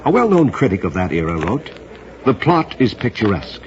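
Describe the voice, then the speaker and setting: A man's voice: fairly low pitch, sing-song, brisk, a crowd in the background. An American man announcing as a radio program host in a formal, polished, theatrical old-time style, reading from a script. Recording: dull old radio transcription, music behind. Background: music.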